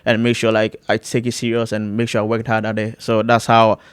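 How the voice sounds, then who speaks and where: A man's voice: lowish voice, monotone, fairly quick, smooth, no room sound. A man of twenty-one from Ghana, chatting casually as an interview guest with many fillers and restarts. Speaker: guest, college soccer player.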